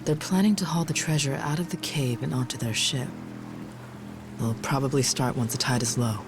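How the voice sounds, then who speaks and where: An actress playing a deferential, serious subordinate in a video game, speaking formally and with restraint. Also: female voice, very deep voice, fairly even intonation, moderate pace, background noise. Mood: sober, deferential. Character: deferential, serious female subordinate reporting to her queen. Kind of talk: dramatic video game cutscene acting.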